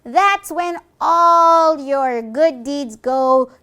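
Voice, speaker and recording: Woman voicing a high, drawling, cocky little devil puppet. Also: drawn-out words, pushed and bright, exaggerated voice. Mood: mocking. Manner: mocking, dismissive, gleefully boastful. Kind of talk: puppet show dialogue.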